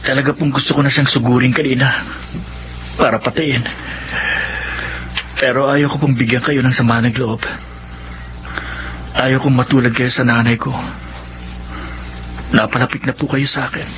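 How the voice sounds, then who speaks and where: A man's voice: mid-range voice, little pitch movement, moderate pace, long pauses, a bit bright, a little hiss or hum, natural voice. An actor playing a remorseful, intense young man in a radio drama, respectful to elders yet anguished. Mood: earnest. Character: troubled, remorseful younger brother burning for revenge. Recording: dull lo-fi radio drama recording.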